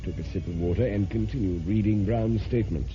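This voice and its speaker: A man's voice: fairly low pitch, brisk, natural voice. A man acting an inquisitive newspaper reporter who narrates a murder trial in a vintage radio drama. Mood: grave narration. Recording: muffled vintage radio with loud music.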